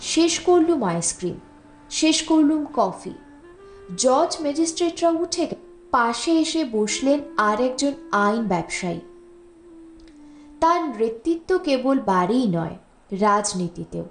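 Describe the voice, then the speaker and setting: Woman, fairly high voice, expressive audiobook narration, literary fiction, roomy echo. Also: slow, pauses often, slight background noise. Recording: roomy, echoing voice recording.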